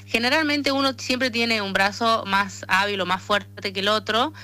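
Female athlete interviewee, northern Argentine accent, bright tone, mid pitch, conversational. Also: steady tone, normal speed. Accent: northern Argentine, Tucumán. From Tucumán, northern Argentina. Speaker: interviewed athlete, arm wrestler and association president. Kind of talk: conversational radio interview answers.

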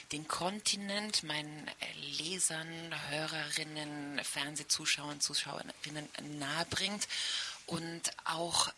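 Very bright-voiced woman with faint hiss. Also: deep voice. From Germany. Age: middle-aged. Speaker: foreign correspondent, interview guest. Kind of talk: spontaneous interview answers. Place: radio studio.

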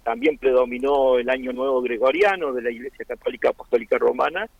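An Argentine man with a gravelly, creaky voice talks in an earnest, halting way, restarting his phrases and filling pauses. The sound is dry and dull, like a lo-fi radio feed. His pitch sits in a middle range. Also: no echo. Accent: Argentine Spanish. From Argentina (Chubut). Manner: hesitant, many fillers and restarts, earnest. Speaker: interviewee, Mapuche-Tehuelche community member. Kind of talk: radio interview, reflective explanation.

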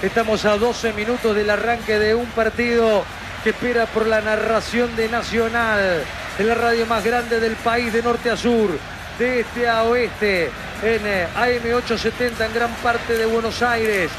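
A man hosting a radio football broadcast, lively and bantering as he hands off to colleagues. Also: very high-pitched, unhurried, background noise. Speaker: radio play-by-play announcer and show host.

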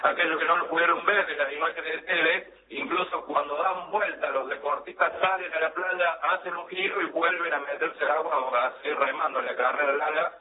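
Argentine sports reporter, long explainy radio talk, slightly high voice, thin narrow sound. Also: male voice, fairly even intonation, a little fast, few pauses. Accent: Argentine Spanish. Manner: explanatory, expansive. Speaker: sports journalist. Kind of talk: radio commentary.

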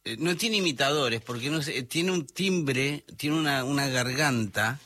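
Rioplatense radio co-host, male, bright tone, dry close mic, halting. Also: medium pitch, no room sound. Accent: Rioplatense Spanish. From Buenos Aires, Argentina. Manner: hesitant, trailing off mid-sentence. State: voice not at its best. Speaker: radio co-host presenting music. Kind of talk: casual radio chat.

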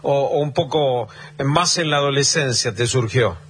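Husky Argentine radio host man. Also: medium pitch, fairly bright tone. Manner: measured, uses eh fillers. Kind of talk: radio interview.

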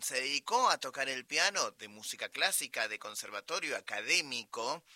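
A man's voice: mid-range voice, pushed and bright, no room sound. A man with an Argentine accent hosting a radio interview, prompting his guest and finishing her sentences. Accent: Argentine Spanish. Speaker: radio host.

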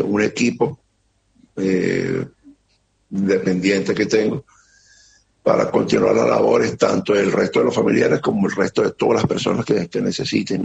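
A middle-aged man with a Venezuelan accent giving an earnest, halting account as an interviewee, often repeating words. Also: lowish voice, vocal fry, a little mellow. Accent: Venezuelan Spanish. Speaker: interviewee, security operator. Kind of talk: emotional testimony on a remote interview. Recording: muffled lo-fi line with faint noise.

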